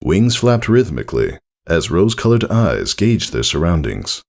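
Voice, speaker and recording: A man with a low, gravelly voice narrates a story. He reads in a measured, deliberate manner, with expressive rises and falls that keep the storybook prose moving. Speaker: narrator reading fan fiction.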